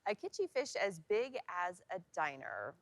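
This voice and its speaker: A woman's voice: mid-range voice, wildly swooping pitch, normal speed, no echo. Female TV presenter, dry studio sound. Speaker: TV news co-anchor and weather presenter. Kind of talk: casual news banter and forecast.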